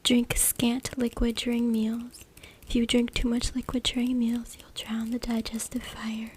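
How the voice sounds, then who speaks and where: A woman whispers an ASMR reading in a soft, deliberate way, with a slightly high voice that stays very even. She is close to a USB mic, and there is clear noise with clicks behind her. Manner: soft, hushed, deliberate whispering with repeated words. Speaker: ASMR creator reading from a book. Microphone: close USB microphone. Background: noise, tapping and clicking sounds.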